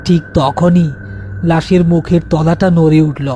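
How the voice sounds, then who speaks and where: High-pitched male horror story narrator. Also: steady tone, unhurried, dark tone, faint music behind.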